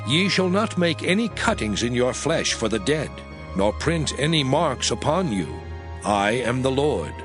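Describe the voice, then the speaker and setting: A man giving a solemn, emphatic dramatized reading of archaic scripture as narrator. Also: mid-range voice. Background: background music.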